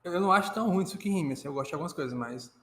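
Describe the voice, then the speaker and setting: A Brazilian man chatting casually as a co-host, halting often and hedging with many fillers. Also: fairly high pitch, expressive, fairly bright tone. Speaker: co-host reacting to video. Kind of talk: casual chat. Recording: echoey room with faint noise.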